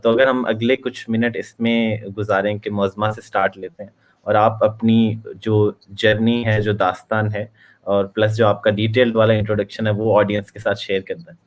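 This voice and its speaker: Male moderator, low, very fast-talking, code-switches Urdu and English. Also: level tone. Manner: rapid speech mixing Urdu and English. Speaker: panel moderator. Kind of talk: virtual panel hosting.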